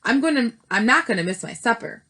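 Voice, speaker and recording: A woman's voice: fairly high pitch, animated, fairly quick, sharp tone, pitched above the performer's own voice. A woman voicing a greedy small boy turned into a mouse, stubborn and whiny, in a storybook read-aloud.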